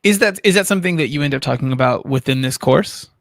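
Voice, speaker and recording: A man's voice: on the high side, expressive, fast, a bit bright, dry recording, clean studio sound. A man co-hosting an art livestream, chatting casually and conversationally as a fellow artist.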